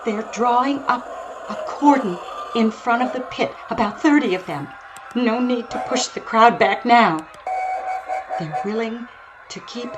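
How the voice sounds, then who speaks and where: High-voiced, halting radio newswoman played by an actress. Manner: halting, dramatic, broken phrases. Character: female radio field reporter, excitable, overwhelmed eyewitness to invasion. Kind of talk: 1930s radio drama acting, breathless live commentary. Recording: noisy audio with constant ambience.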